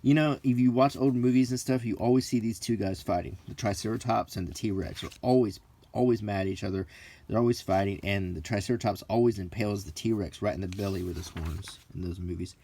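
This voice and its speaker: A man's voice: on the low side, brisk, mellow, breathy edge. A middle-aged man chatting casually and unscripted as he browses books, with nostalgic, mildly grumbling asides. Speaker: vlogger flipping through game books. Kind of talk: casual unscripted chat, unintentional ASMR.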